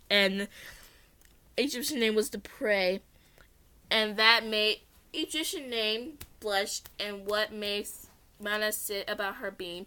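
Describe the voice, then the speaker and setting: A woman's voice: mid-range voice, bright, forceful tone, clean tone. A woman reading a story aloud as an amateur, giggly, often stumbling over words and correcting herself. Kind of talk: read-along narration with character voices.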